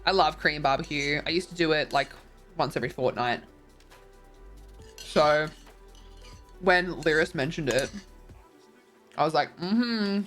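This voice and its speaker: A woman's voice: low-pitched, unhurried, long pauses, fairly bright tone, soft background music. Tired, congested woman streamer. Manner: chatty, rambling, many ums and likes. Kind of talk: casual chat reading viewer messages.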